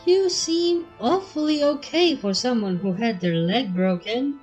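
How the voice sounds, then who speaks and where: A woman's voice: fairly high pitch, expressive, measured pace, a little mellow, slightly rough, soft background music, pitched above the performer's own voice. Woman putting on a prim, fretful unicorn lady. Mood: polite. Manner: prim, theatrical. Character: refined, dramatic, fretful female unicorn.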